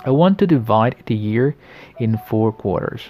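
A man's voice: medium pitch, lively intonation, warm tone, faint music behind. Friendly late-20s male podcast host. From the Americas. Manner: friendly, reflective, often pausing with fillers and restarts. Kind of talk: casual solo podcast monologue.

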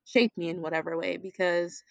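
Woman, low-ish voice, dry close sound, casual, reflective, open. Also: fairly even intonation, moderate pace, creaky, no room sound. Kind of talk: casual conversation.

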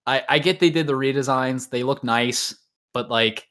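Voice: medium pitch, moderate pace, fairly bright tone. A podcast host with a mid-range voice talks in a loose, casual way about anime and games. The delivery is opinionated and joking, with plenty of casual swearing.

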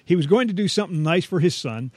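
A man's voice: high-pitched, expressive, fast, darkish tone, husky, no room sound. A man co-hosting a comic radio show, trading scripted banter in a jokey, self-deprecating way.

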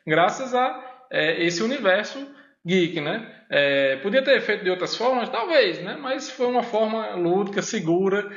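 A man's voice: sits high, lively intonation, measured pace, fairly bright tone. A man speaking with a northeastern Brazilian accent, a professor and podcast guest chatting casually, hesitant and full of fillers, often ending with a questioning "né?". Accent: Brazilian Portuguese, northeastern. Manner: hesitant, many fillers and repeated "né?". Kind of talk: casual interview chat. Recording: echoey room, dull lo-fi sound.

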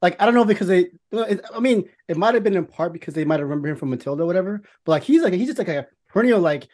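Fast-talking man in casual film chat. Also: high-pitched, expressive, a little mellow. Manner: rambling, repetitive, slangy. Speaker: podcast host.

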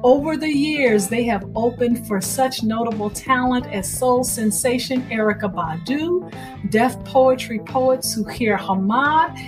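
A woman hosts a radio storytelling show, reading a scripted introduction. Her voice sits a little high and she takes her time, landing each phrase with emphasis. Music with plucked guitar plays behind her. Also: measured pace. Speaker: host introducing a featured storyteller.

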